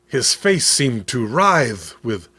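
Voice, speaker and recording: A man narrating a dramatic scripted horror story in a theatrical, measured way. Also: mid-range voice, very animated, sharp tone, breathy edge. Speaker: narrator of horror comics read-along.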